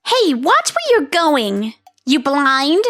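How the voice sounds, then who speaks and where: A woman's voice: high voice, huge pitch swings, takes it slowly, a bit bright, faint music behind, full character voice. A woman voicing a tough, hot-tempered teenage delinquent girl who talks blunt and threatening. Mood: annoyed. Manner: blunt, threatening street talk. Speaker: voice actor in a manga dub.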